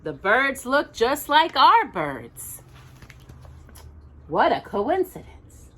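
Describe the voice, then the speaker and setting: Lady doing a little bear cub for storytime, bright voice, earnest and full of imagination, takes his time. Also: sits high, wildly swooping pitch, very slow, voice pushed up, exaggerated voice. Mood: amazed. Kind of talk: children's storybook character reading.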